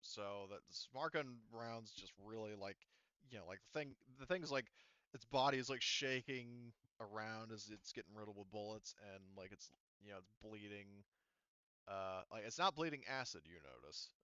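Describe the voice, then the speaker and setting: A man's voice: on the low side, animated, normal speed, sharp tone, smooth, no echo, lo-fi sound. A man acting as game master, narrating a tabletop game casually and informally, with some swearing. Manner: informal, profane.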